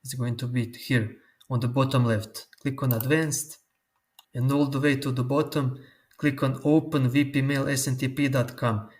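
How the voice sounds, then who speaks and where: Man explaining software steps. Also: mid-range voice, fairly even intonation. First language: Croatian. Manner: slow, step-by-step instructions. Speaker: tutorial host. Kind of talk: screen-recorded software tutorial.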